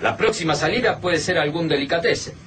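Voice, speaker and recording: An actor with an Argentine accent playing a practical, resourceful survivor in a radio drama. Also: male voice, on the high side, a little fast, fairly bright tone, lightly acted. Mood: upbeat, practical. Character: Favalli, practical, resourceful, grave middle-class survivor friend. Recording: distant miking with clear background noise.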